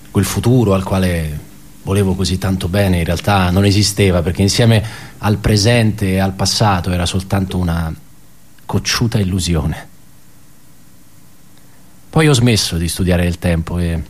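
An actor playing a wry, bitter male office worker in a theatrical radio monologue, halting and ironic as he tells his story. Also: low-pitched, darkish tone. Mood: wistful, resigned. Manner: halting, self-correcting, conversational, ironic.

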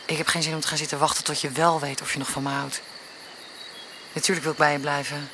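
An actress playing a hurt but resolute wife who talks directly and confrontingly in a radio drama. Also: female voice, sits low, flat delivery, fast, sharp tone, slight background noise. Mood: hurt, firm. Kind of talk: Dutch radio drama acting.

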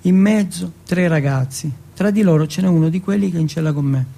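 A man's voice: on the high side, fairly quick, gravelly, mellow. A man from Turin performing a first-person dramatic monologue in short, blunt, colloquial sentences with a streetwise manner. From Turin, Italy.

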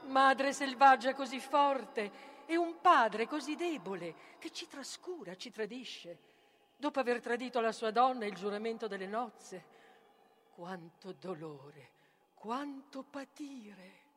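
Woman playing a slow, high-voiced grieving tragic child. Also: lively intonation, a little hiss or hum. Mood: anguished. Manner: incantatory, declamatory, chanting in short broken phrases. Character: doomed child of Medea, grieving, fearful, oracular. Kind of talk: avant-garde poetic tragedy recitation.

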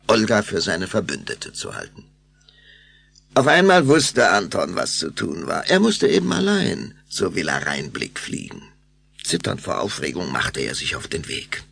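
Gravelly, expressive male storyteller. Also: sits low, measured pace. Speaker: narrator. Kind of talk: dramatic scripted narration for children's radio play.

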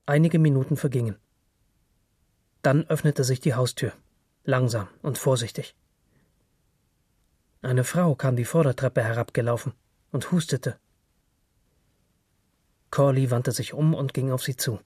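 A man narrates literary prose in a mid-pitched, husky voice. The sound is dry, with no room around it, and the delivery is that of a scripted audiobook story. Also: measured pace, long pauses, a little mellow, dry recording.

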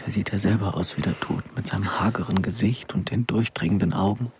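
A man's voice: on the low side, fairly quick, slight background noise, natural voice. An actor playing a watchful, defiant young man in a radio play, with a dry conversational delivery. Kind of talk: radio drama acting.

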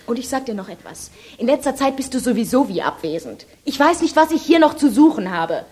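A woman's voice: fairly high pitch, sing-song, brisk, breathy edge. An actress playing an angry, exasperated girlfriend in a German radio drama, accusing and indignant. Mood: bitter, accusing. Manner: accusing, indignant, sharp retorts.

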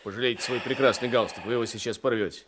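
A man acting in a radio drama as a calm, shrewd police investigator, polite but probing and dryly ironic. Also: mid-range voice, racing, breathy edge. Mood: probing, firm.

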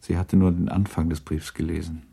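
A man narrating a literary radio play in a grave, measured, restrained manner. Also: low-pitched, little pitch movement, gravelly, mellow, slightly rough, heavily acted. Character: grave, restrained narrator of a 19th-century novel.

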